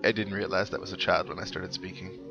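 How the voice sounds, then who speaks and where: A man's voice: low voice, flat delivery, quick pace, vocal fry, lo-fi sound, over music. A man hosting a video game playthrough, giving casual commentary with wry, sarcastic asides. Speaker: gamer host of a let's play. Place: indoor recording with game music behind.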